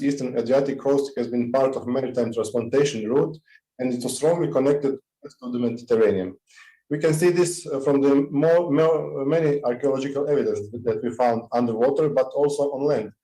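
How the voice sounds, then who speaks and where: Guy presenting over a video call, mellow voice, echoey room. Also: mid-range voice. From Croatia. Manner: halting, many fillers. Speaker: conference presenter. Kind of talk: online presentation. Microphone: computer mic on a video call.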